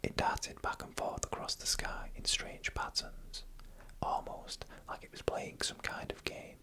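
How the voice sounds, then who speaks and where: An ASMR storyteller speaks entirely in a whisper. The sound is bright and sharp-edged. The delivery is hushed and deliberate, drawing out suspense as a scripted narration.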